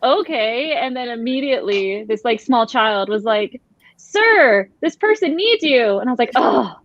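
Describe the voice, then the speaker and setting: A woman's voice: fairly high pitch, lively intonation, a little slow, dry recording. A woman co-hosting a casual chat, telling stories in a frank, informal way with quoted asides, over dull call audio. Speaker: co-host of a book readalong livestream.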